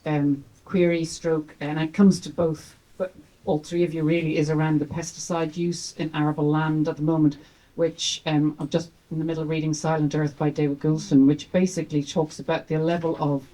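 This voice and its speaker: A woman's voice: deep voice, flat delivery, brisk, warm tone, poor audio. A woman from Ireland with an Irish accent, asking a question from the audience in a rambling, conversational way. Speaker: audience member.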